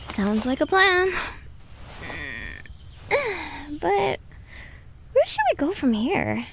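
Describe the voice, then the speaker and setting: A woman's voice: very high voice, big pitch swings, slow, vocal fry, fairly bright tone, a little hiss or hum, exaggerated voice. A young woman acting a supportive, spirited adventurer in an audio drama. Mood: worried.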